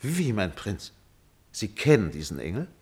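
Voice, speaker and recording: An actor playing a deferential but proud and ardent court painter in a classic German radio play, speaking in a courtly, emphatic, declamatory way. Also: male voice, on the low side, very animated, measured pace, a little mellow. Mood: eager.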